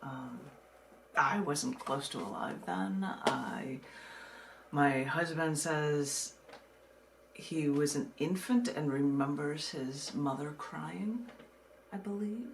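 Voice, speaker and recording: A woman poet from the United States reading her own work and talking casually about it, in a measured way with dramatic pauses. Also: low-pitched, vocal fry, slight background noise. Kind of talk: poetry reading with casual asides.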